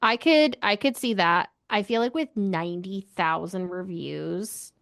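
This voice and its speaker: A woman's voice: medium pitch, a little slow, gravelly, fairly bright tone, no echo, studio quality. A woman talking casually as co-host of a book club podcast.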